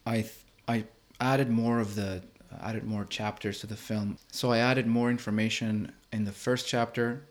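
A man from Greece explaining his work in an interview, hesitant and halting, often stumbling over words. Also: lowish voice, flat delivery. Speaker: interviewed artist and filmmaker.

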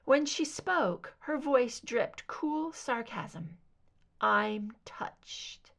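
A woman reading scripted fiction as an audiobook narrator, in an expressive storytelling style. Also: sits high, slow pace. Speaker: amateur audiobook reader of fan fiction.

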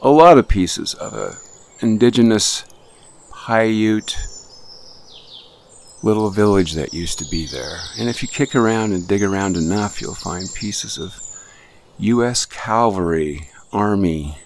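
A man hosting a radio show, telling reflective stories in a halting way that often restarts phrases. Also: fairly low pitch, expressive, darkish tone, a little hiss or hum. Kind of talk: reflective storytelling monologue. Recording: music bed under speech. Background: music under the voice.

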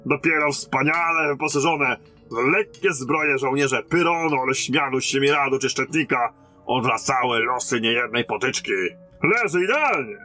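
An actor playing a battle-hardened, blunt and commanding lieutenant in a fantasy audio drama. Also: male voice, medium pitch, normal speed, pushed and bright, soft background music, natural voice. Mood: gruffly reminiscing.

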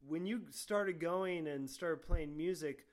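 A man's voice: fairly high pitch, takes it slowly. A man who grew up in Florida, hosting a casual conversation, loose and chatty, full of "like" and the odd swear word. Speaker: podcast host.

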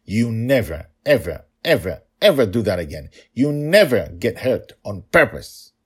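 Man playing a stern, worried father, husky and emphatic. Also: lowish voice, very animated, pitched above the performer's own voice, full character voice. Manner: slow, emphatic scolding.

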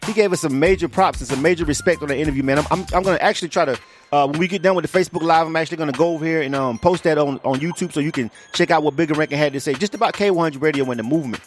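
A man from Florida hosting a casual radio interview, energetic and slangy, laughing often. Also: fairly high pitch, racing, soft background music. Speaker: radio host.

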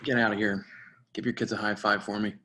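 A man's voice: lowish voice, level tone, quick pace, pushed and bright, a little hiss or hum. An actor playing an overworked, polite and hesitant professional man in a dramatic screenplay reading. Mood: weary, friendly. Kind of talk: dramatic table read.

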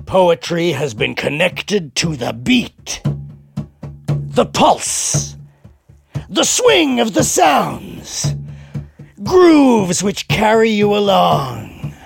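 A woman's voice: mid-range voice, creaky, heavily acted. A woman playing a charismatic, coaxing poetry teacher in a radio drama. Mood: rousing. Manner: animated, coaxing. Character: charismatic, unconventional, encouraging female poetry teacher. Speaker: poetry workshop leader.

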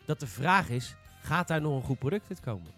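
A man's voice: fairly high pitch, sing-song, a little fast, fairly bright tone, soft background music. A man hosting a casual gaming podcast, speaking informally and bluntly with strong opinions. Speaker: podcast host leading the discussion.

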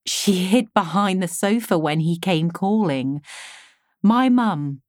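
Low-pitched woman narrating, close and dry. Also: expressive, slow, dry recording. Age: 40s. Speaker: author reading own memoir. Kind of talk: scripted audiobook narration.

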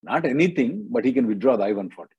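Male call-in host, husky and dry, blunt short answers. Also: mid-range voice, sing-song, fast talker, dry recording, muffled recording. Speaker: immigration advice show host. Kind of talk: live call-in advice.

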